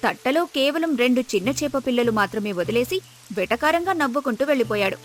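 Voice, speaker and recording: A woman's voice: sits high, animated, fairly quick, faint music behind. A woman narrating a scripted moral story as the storyteller.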